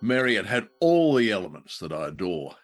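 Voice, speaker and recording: A man's voice: on the low side, big pitch swings, a little fast, soft background music. A man co-hosting a podcast, giving a playful review in conversational banter. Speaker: podcast co-host.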